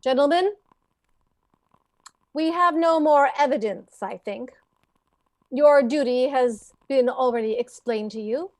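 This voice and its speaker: An actress playing a cool, authoritative coroner in an old time radio style drama, speaking in a formal, official manner. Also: female voice, high voice, slow, pauses often, dry recording.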